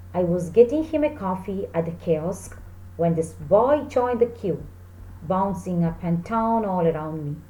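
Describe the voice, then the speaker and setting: A woman in her thirties teaching an English lesson, speaking deliberately as if to a class of children. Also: mid-range voice, mellow. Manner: deliberate, checking questions to pupils. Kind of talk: English lesson for children, reading text aloud and explaining. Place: small plain room indoors. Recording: roomy echo with slight background noise.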